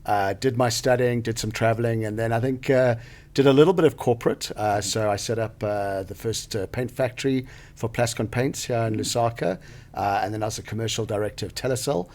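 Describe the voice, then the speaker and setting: A man's voice: mid-range voice, a little fast, a little mellow. A man from Zambia answering interview questions in a studio, thoughtful, with frequent fillers and restarts. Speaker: guest, venture capital partner.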